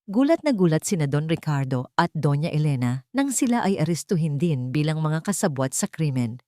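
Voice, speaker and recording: Low-voiced woman narrating a dramatic story. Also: big pitch swings, a little fast, warm tone, no echo, studio quality. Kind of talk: dramatic scripted narration of a love and revenge story.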